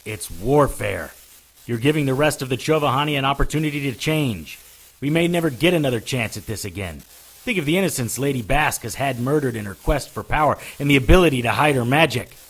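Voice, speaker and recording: A man playing a hard-boiled private investigator in an audio drama, dry and deadpan. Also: mid-range voice, a little fast. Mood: urgent, grave. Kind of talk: audio drama narration and dialogue.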